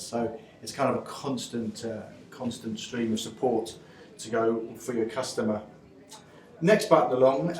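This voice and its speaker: A man's voice: mid-range voice, recorded from a distance. A man walking through a product demo in a large showroom, explaining loosely with uhs and restarts. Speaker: product demonstrator. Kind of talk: live product walkthrough. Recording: echoey showroom, off-mic.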